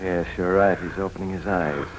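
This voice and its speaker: A man plays a hospital doctor in an old radio drama. His voice is low and measured, with a soothing professional manner. A faint hiss runs under the recording. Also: steady tone, close to an everyday voice. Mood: calm, reassuring. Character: calm, reassuring male hospital doctor. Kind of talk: 1940s radio drama acting.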